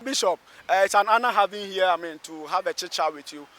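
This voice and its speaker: High-voiced Ghanaian man reporting on location. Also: fast talker, sharp tone. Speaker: radio reporter and interviewer. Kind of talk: on-location radio news interview. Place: outdoors by a busy road underpass.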